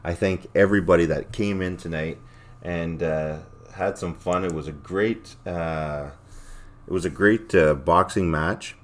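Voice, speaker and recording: A man's voice: low voice, a little slow. A man hosting a casual livestream in a rambling, blunt, profane way, his voice a little worn as if he is losing it. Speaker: livestream host. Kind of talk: casual livestream sign-off chat, reading chat comments aloud.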